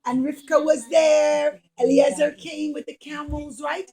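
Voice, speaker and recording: A woman with a high voice narrates a puppet show for children. She teaches as she tells the story, using simple, emphatic phrases and plenty of repetition. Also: level tone, unhurried, vocal fry, mellow.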